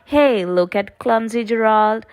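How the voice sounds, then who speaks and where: A woman voicing a crowd of excitable jungle animals in a children's storybook, with theatrical exclamations. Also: on the high side, unhurried, natural voice. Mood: sneering, mocking. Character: crowd of jungle animals, sneering then awestruck.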